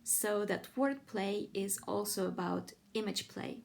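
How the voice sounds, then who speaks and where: A woman giving an informal, thoughtful talk about a poet, enthusiastic and pausing often to find her words. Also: mid-range voice, vocal fry. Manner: reads poetry aloud in Ukrainian. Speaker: presenter of a poetry channel.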